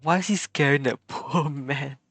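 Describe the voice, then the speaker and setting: A man streaming a video game, chatting casually in an excitable, giggly, rambling way. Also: fairly high pitch, normal speed. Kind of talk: casual gameplay commentary.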